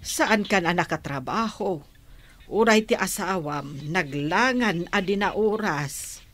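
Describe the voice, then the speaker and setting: A woman's voice: lowish voice, animated, slow, fairly bright tone, heavily acted. An actress playing a caring, concerned middle-aged mother with a Filipino accent, speaking expressively in a radio drama.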